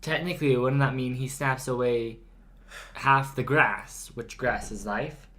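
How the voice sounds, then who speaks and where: Teen boy podcast co-host, jokey and playful, mid-pitched, easygoing home chat. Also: fairly even intonation, measured pace, sharp tone. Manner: jokey, playful, often talks over his co-host. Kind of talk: casual chat.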